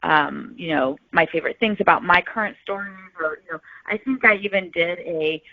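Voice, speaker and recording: A woman's voice: fairly low pitch, normal speed. A woman guest answering interview questions in a casual, informal, rambling way. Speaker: podcast guest, novelist. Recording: tinny, phone-like call audio.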